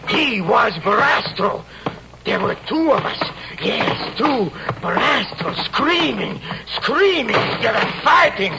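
An actor playing a haunted, desperate stage magician, telling his tale haltingly with repeated words in an overwrought old-time radio style. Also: medium pitch, over loud music, lightly acted. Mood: frantic, horrified. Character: haunted, desperate male stage magician recounting a terrifying ordeal. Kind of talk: old-time radio drama acting, tormented first-person narration. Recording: muffled, noisy old radio recording.

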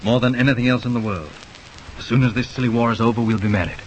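An actor playing a confident young English army captain, breezy and dismissive, in an old radio drama. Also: male voice, medium pitch, steady tone, fast, highs cut off, slight background noise, lightly acted. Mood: tender. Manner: breezy, dismissive, tender with his sweetheart. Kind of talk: 1930s radio drama acting.

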